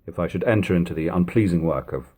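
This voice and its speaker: A man narrating an eighteenth-century account as a dramatic, expressive audiobook reading. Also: sits low, fast, husky.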